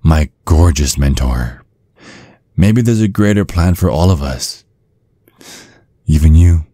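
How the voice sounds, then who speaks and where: Man voicing a possessive vampire, seductive ASMR roleplay, slow menacing tease, breathy husky whispers, close and dry mic. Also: warm tone, no room sound, heavily acted. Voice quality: very deep, breathy and husky, often dropping to whispers. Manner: slow, teasing, menacing drawl. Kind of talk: intimate ASMR roleplay acting. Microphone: close, dry microphone.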